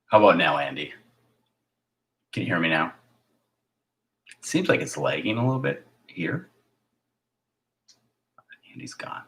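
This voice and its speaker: Deep, raspy man chatting on a live stream. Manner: hushed at times, drifting into whispers; chatty, with fillers and restarts. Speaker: filmmaker hosting a live stream. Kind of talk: casual rambling live stream chat.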